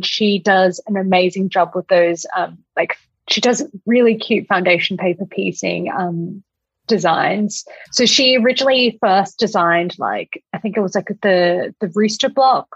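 A woman's voice: medium pitch, unhurried, slightly rough. A woman with an Australian accent chatting casually as an interview guest, with plenty of "like" and "you know". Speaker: podcast guest, quilt designer. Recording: echoey room, slightly muffled audio.

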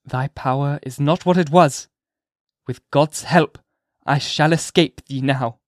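A man's voice: fairly high pitch, slow pace, dry recording, studio quality. A man voicing a frail, anguished minister who pleads in a raised, impassioned voice, reading an old novel aloud. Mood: solemn. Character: frail anguished male minister, pious and fervent.

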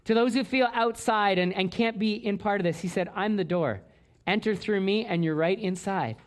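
A man's voice: high-pitched, moderate pace. A man from Canada, a pastor preaching a sermon in church, earnest, often restarting and repeating words.

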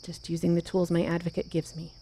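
A woman's voice: on the low side, monotone, fast, warm tone, a little hiss or hum, close to an everyday voice. A woman playing an anxious, guarded wife with secrets in an audio drama, speaking in hesitant phrases. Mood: apologetic, evasive.

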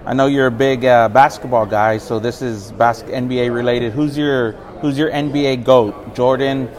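A man from San Antonio who hosts a podcast, reading his questions briskly and affirming answers with quick yeahs. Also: mid-range voice, fairly even intonation. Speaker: podcast host.

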